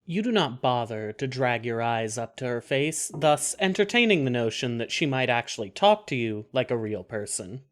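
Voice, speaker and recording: A woman reading scripted second-person fan fiction narration in an expressive, wry way. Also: a bass voice, moderate pace, hardly any pauses, a little hiss or hum.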